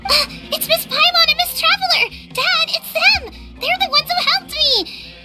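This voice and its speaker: A woman's voice: extremely high pitch, a little slow, sharp tone, faint music behind, exaggerated voice. A spirited young girl. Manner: earnest and cheeky. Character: spirited young girl, a tribe elder's daughter. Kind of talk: video game dialogue.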